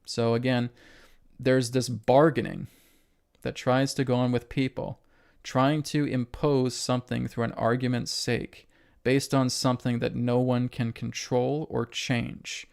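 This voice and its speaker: Male spiritual host, mid pitch, creaky, emphatic and repetitive lecture delivery. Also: flat delivery, a little slow, darkish tone. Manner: emphatic, repetitive, asks rhetorical questions and tags "right?". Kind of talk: spiritual lecture to an online audience.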